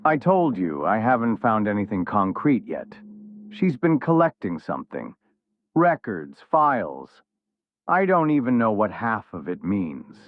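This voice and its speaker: Menacing noir enforcer, muffled thin phone-like sound, slow delivery, impatient, coarse, calculating. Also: male voice, lowish voice, big pitch swings, dry recording. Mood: frustrated. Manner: irritated, coarse, defensive. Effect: muffled phone-like filter. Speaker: actor in a podcast drama. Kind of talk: noir audio drama acting.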